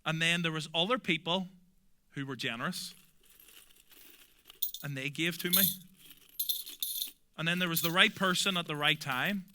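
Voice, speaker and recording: A young adult man from Belfast with a Belfast accent, preaching a sermon earnestly and emphatically, often dropping to soft, quiet endings. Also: a little high, normal speed, long pauses, background noise. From Northern Ireland. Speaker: pastor.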